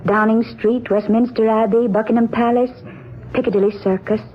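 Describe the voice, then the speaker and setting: An actress playing a devoted, thoughtful wife and travel companion in 1940s radio drama style. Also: female voice, a little high, fairly even intonation, normal speed, highs cut off, slight background noise, natural voice. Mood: reciting. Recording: band-limited 1940s radio, loud music.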